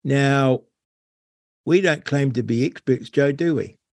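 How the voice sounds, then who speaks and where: A man's voice: medium pitch, slow pace, a little mellow, no room sound, studio quality. Wry New male talk host. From New Zealand. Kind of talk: casual interview chat.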